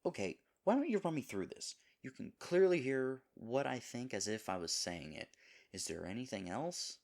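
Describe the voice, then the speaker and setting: A man playing a sweet, dim himbo boyfriend in an audio roleplay, talking in a halting, rambling, flustered and earnest way. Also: on the low side, creaky. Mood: curious, unsure. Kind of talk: audio roleplay acting, intimate one-sided dialogue.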